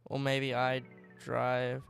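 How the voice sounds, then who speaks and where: A man's voice: mid-range voice, completely flat delivery, slow, vocal fry, fairly bright tone, clean tone, soft background music. A man with an Australian accent chatting casually and rambling on a live game stream, often trailing off and restarting. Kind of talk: casual live-stream chat while driving.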